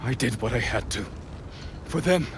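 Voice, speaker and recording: A man's voice: mid-range voice, lively intonation, normal speed, natural voice. Man acting a solemn samurai warrior over noisy ambience. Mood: defiant. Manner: solemn, terse, emphatic delivery. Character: resolute young samurai warrior turned outcast avenger. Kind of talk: dramatic video game cutscene acting. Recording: clear background ambience noise.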